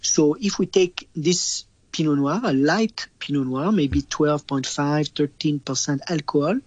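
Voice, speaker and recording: A man's voice: a little high, unhurried, darkish tone, no echo, low-quality recording. A man with a French accent, a wine expert chatting casually on radio, laughing often and restarting his sentences.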